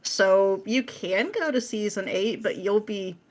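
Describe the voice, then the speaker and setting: A woman with a medium-pitched voice chats casually as a podcast guest. She is playful and sarcastic, acting out lines in mock quotation as she makes her points. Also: unhurried. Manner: animated, sarcastic, playful mimicry. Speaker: podcast guest, script writer. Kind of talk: casual pop culture chat.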